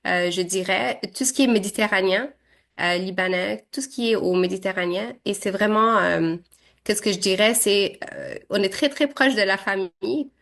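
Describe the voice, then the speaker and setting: A woman's voice: mid-range voice, normal speed, creaky, a bit bright. A woman in her thirties from Syria, whose first language is Arabic, answering interview questions in a hesitant way with many fillers and restarts. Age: 30s.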